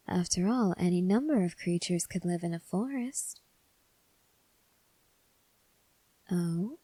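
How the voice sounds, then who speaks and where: A woman playing a calm, probing female vampire in an ASMR roleplay, speaking softly and breathily with deliberate pauses. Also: on the low side, no echo, low-quality recording. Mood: teasing, curious. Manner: soft, breathy, measured delivery with deliberate pauses. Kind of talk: ASMR roleplay addressed to the listener.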